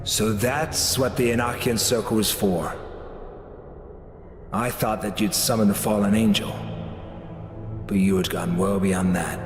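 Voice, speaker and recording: A stern and devout game inquisitor with a lowish voice. He speaks formally and accusingly, with measured defiance. His words ring with long hall reverb over music. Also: fairly even intonation. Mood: probing. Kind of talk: dramatic video game acting.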